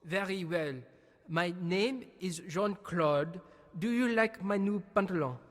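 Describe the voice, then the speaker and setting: An actor playing a timid, whiny young French student with a French accent in a video game. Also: male voice, high voice, slow pace, a bit bright. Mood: nervous. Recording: long echoing reverb.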